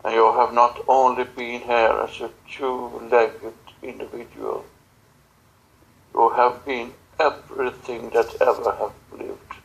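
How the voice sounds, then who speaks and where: Slow, halting man channeling a spiritual message. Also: mid-range voice, level tone, vocal fry, low-quality recording. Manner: halting, solemn, broken phrasing. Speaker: channeler in guided visualization session.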